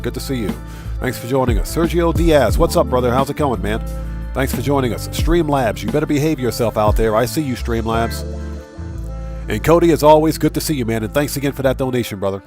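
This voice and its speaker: A man's voice: medium pitch, lively intonation, brisk. A man hosting a gaming live stream, greeting viewers by name in a casual, friendly way, sounding a bit nasal from a cold. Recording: music playing under the voice. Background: background music.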